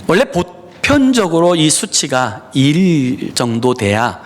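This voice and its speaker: A man's voice: fairly high pitch, very animated, takes it slowly. A middle-aged man preaching a sermon as a pastor, talking in a personal, earnest way to his congregation, with many "uh" fillers. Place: church sanctuary.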